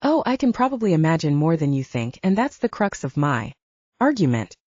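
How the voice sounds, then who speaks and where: A woman's voice: sits low, very animated, a little fast, a little mellow, dry recording, highs cut off. A woman voicing a plucky, argumentative young woman with a wry, persistent manner in an audiobook romance. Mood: persistent, teasing.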